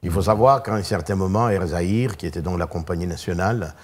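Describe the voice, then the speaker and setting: A man in his sixties from Congo, a guest pastor telling his life story in a television studio, pausing often with fillers. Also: deep voice, husky. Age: 60s. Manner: many filler pauses, measured storytelling. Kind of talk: hesitant, thoughtful recounting.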